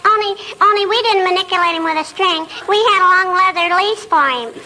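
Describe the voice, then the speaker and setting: A woman's voice: extremely high pitch, a little fast, sharp tone. A woman playing a cheeky, muddled little girl in a 1940s radio comedy, prattling childishly on an old noisy recording. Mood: innocent, chatty. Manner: childlike prattle, ends remarks with questioning hmm.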